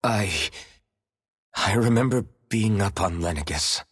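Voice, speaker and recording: An actor playing a troubled, earnest young warrior in an anime-style video game, speaking haltingly and subdued. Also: male voice, on the low side, expressive, breathy edge. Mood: hesitant, grave. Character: troubled, earnest young male warrior haunted by his past.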